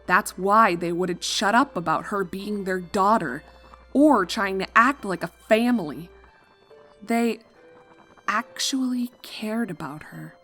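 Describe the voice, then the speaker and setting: A woman's voice: medium pitch, slow pace, a bit bright. Woman narrating a story over faint music. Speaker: fan fiction narrator. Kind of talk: expressive scripted story narration.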